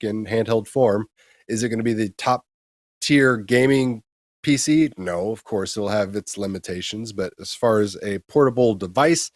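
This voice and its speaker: A man with a low voice co-hosts a gaming news show. He talks casually and gives his opinions in colloquial language, with a close, dry sound. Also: no room sound.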